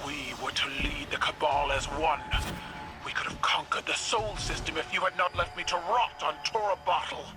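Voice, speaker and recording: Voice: medium pitch, fairly even intonation, moderate pace, natural voice. An actor voicing a proud, defiant alien empress in a video game, with regal, resolute declamation. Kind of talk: dramatic game acting. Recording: loud game music behind.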